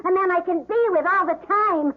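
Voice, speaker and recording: An actress playing a manipulative, demanding young mistress in an old-time radio drama, coquettish, petulant and defiant. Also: female voice, extremely high pitch, brisk. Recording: tinny vintage radio broadcast.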